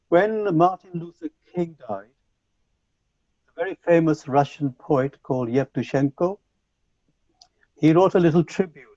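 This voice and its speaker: Measured man lecturing over a dull call. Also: on the high side, warm tone, dry recording. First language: Punjabi. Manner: measured, reflective, with frequent restarts. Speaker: conference speaker. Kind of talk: online lecture to teachers. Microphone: video call. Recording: dull lo-fi video call audio.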